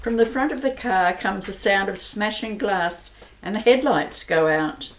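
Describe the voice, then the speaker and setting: A woman's voice: medium pitch, unhurried. A woman narrating an amateur radio play in a plain, matter-of-fact way. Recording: roomy amateur recording with faint hiss.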